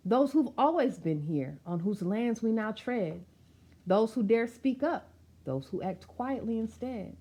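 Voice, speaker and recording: Woman, storytime reader, warm relaxed tone, animated intonation, children's read-aloud, expressive, direct address to listeners. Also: a little high, a little slow, slightly rough. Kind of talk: read-aloud of a rhyming children's picture book with chatty asides.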